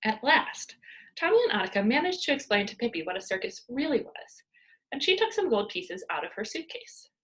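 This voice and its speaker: A woman with a fairly high voice reads a children's story aloud. Her delivery is very animated and dramatic, as a teacher would read to a young class. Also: a little fast, rough recording.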